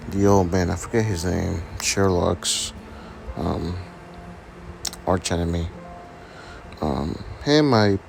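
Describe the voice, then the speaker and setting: A man with a raspy voice from being sick, hesitantly walking through his game account in a casual screen-recording chat. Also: deep voice, darkish tone. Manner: hesitant, many ums and restarts. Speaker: gaming channel host.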